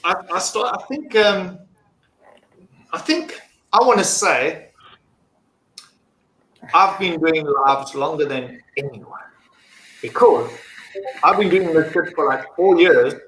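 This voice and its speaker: South african guy on a live call, kinda high voice, rambly and chill, echoey room with a bit of hiss. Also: lively intonation, measured pace, pauses often, pushed and bright. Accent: English South African. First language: English. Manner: laid-back, rambling, swears casually. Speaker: guest. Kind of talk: casual chat on a live stream. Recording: roomy mic with faint noise.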